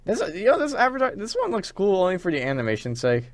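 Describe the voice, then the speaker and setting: A young man co-hosting a radio talk show with casual, joking banter in a playful, halting way. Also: sits high, wildly swooping pitch.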